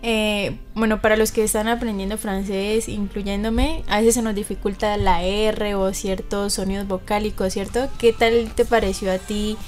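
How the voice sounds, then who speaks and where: A woman's voice: mid-range voice, little pitch movement, normal speed, hardly any pauses, soft background music. Friendly woman interviewer. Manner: friendly, affirming with "ujú" and "súper". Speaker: radio host. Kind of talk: interview chat.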